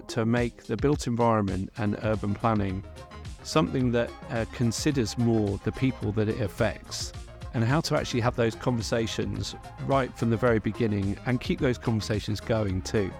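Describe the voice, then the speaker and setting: A man's voice: medium pitch, gravelly. A man hosting an interview, introducing his guest in a hesitant, appreciative way. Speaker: interview host. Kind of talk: conversational interview.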